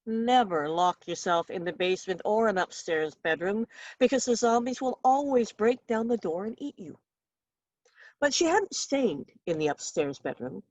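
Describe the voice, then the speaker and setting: A woman's voice: mid-range voice, expressive, moderate pace, a little mellow, no echo, muffled recording. Female author for a story reading: wry, theatrical storyteller, mid-range voice, very little room sound. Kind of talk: expressive literary story reading.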